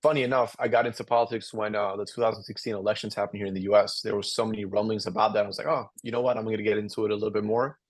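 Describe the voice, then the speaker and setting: A man's voice: lowish voice, flat delivery, racing, few pauses, no room sound. A young man from Albania, a podcast guest, answering casually with many fillers and restarts. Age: young adult. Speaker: podcast guest, incoming law student.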